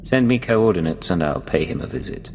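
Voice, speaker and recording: Deep, terse secret agent. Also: male voice, expressive, fairly quick, slight background noise. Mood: dry, businesslike. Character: guarded, blunt male imperial secret agent. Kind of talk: video game voice acting.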